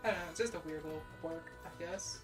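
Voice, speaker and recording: A woman's voice: low-pitched, fast, music in the background. A young adult woman with a Midwestern American accent, chatting casually on a stream, rambling with lots of "like"s and restarts, at a desk mic. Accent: General American (Midwestern). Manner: rambling, many "like"s and restarts, self-deprecating. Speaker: VTuber streamer.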